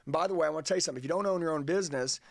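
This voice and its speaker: A man's voice: a little high, very fast, vocal fry, no room sound, studio quality. A middle-aged American man hosting a motivational interview podcast, speaking in an emphatic, slangy way. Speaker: podcast host, sales trainer. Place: small padded podcast studio. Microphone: boom-arm studio microphone.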